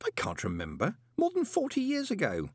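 A man's voice: high-pitched, wildly swooping pitch, a little fast, darkish tone, breathy edge, dry recording, pitched above the performer's own voice, heavily acted. Male narrator as young man, flustered and stammering, dry recording. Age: young adult.

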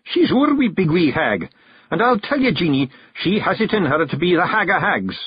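A man voicing a tiny fairy clan chief with a Scottish accent, brooding, proud and gruff, in a storybook. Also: high-pitched, big pitch swings, normal speed, highs cut off, voice pushed up, heavily acted. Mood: earnest. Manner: gruff, dramatic, stubborn.

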